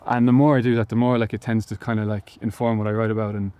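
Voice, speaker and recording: A young Irish man in an interview, his voice on the low side. He answers haltingly, piling up fillers and false starts as he thinks aloud. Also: flat delivery, a little mellow, clean tone. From Ireland, Galway. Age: young adult. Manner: hesitant, many fillers and restarts. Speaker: musician guest.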